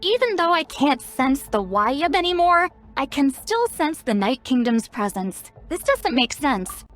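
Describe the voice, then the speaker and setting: A woman's voice: high-pitched, sing-song, unhurried, soft background music. An actress playing a cocky, blunt young female shaman in a video game, speaking in a self-assured, brusque, explanatory way. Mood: frustrated, brisk. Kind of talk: animated video game voice acting.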